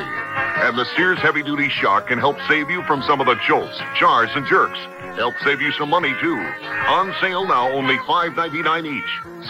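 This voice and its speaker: A man's voice: on the high side, low-quality recording. A man reading a scripted retail advertisement as a radio announcer. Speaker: commercial announcer. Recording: radio ad with music bed.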